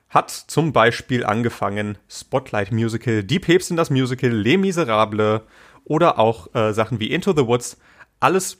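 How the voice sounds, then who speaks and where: A young man hosts a casual podcast interview. He talks in a chatty, joking way, with plenty of filler sounds. His voice sits at an ordinary middle pitch. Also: fairly bright tone. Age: young adult.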